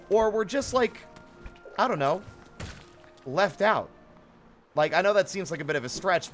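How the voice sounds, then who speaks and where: A man's voice: fairly high pitch, a bit bright. Playful man streaming, faint music behind. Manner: playful, self-interrupting, profane. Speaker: game livestreamer. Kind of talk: casual chat and game commentary.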